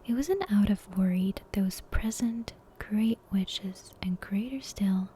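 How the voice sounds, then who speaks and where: A woman whispering a bedtime story in a soft, breathy, gentle ASMR style, close to the microphone. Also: mid-range voice, measured pace, a little hiss or hum. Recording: clear background music and ambience. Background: soft game soundtrack music, ambience.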